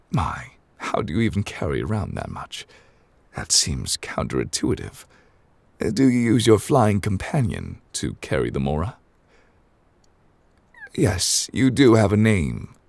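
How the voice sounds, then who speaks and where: A man playing an ancient, courteous, absent-minded god in an ASMR roleplay, speaking formally in a low, gravelly voice with hesitant pauses. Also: wildly swooping pitch, no echo, full character voice. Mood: polite, puzzled. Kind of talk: ASMR audio roleplay, improvised in-character monologue. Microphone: close, dry microphone.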